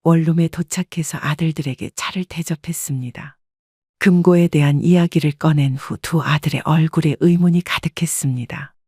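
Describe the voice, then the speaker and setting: Woman narrator, calm even storybook reading, breathy, dry close sound. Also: sits low, dry recording. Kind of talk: scripted first-person story narration.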